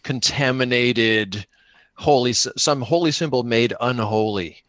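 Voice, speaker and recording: A man with a mid-pitched voice plays a tabletop game, thinking aloud in a casual, conversational way. He makes small self-deprecating asides. The sound is close and dry, with no room on it. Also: measured pace, fairly bright tone, dry recording. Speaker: podcast player improvising a solo tabletop game.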